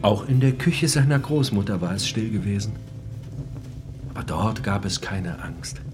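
A man's voice: lowish voice, animated, moderate pace, noisy background, natural voice. An actor playing a brooding, intense male narrator of a prisoner's inner life in a literary radio drama. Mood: brooding, hushed.

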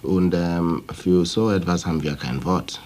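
A man's voice: low-pitched, measured pace, a little mellow. A man from Nigeria with a Nigerian accent and Igbo as his first language, speaking German as a theology professor in a feature interview, reflective and searching for words. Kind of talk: recorded interview clip in a radio feature.

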